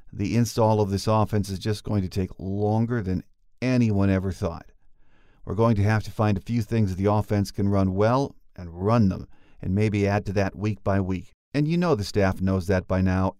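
A man hosting a sports podcast, giving earnest, measured fan opinion, then opening an interview. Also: on the low side, darkish tone, no echo, studio quality. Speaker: podcast host. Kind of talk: scripted sports commentary and interview.

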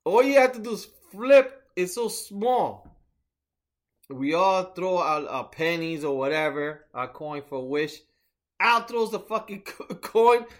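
A man in his forties tells stories casually on a podcast, laughing often and swearing freely. His voice sits somewhat high for a man. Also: very animated, a little slow. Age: 40s. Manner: laughs often, profane storytelling. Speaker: podcast co-host. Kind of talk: casual chat.

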